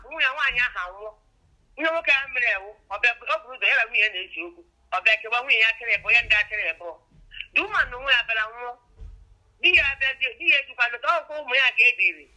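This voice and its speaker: Middle-aged Nigerian woman, high sharp voice, rapid-fire casual talk, heard down a telephone. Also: animated. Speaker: mother of the vlogger. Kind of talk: animated casual phone conversation. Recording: thin, bright telephone line.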